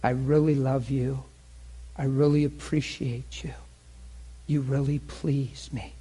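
A man preaching voices God speaking tenderly to him, low, soft and whispery. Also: flat delivery, slow pace, breathy edge.

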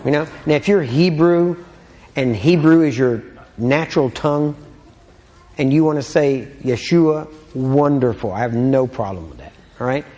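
A man's voice: medium pitch, normal speed, dark tone, in a large hall. A man with a Texan accent preaching in a casual, folksy teaching style, full of joking asides and checks like "all right?". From Texas.

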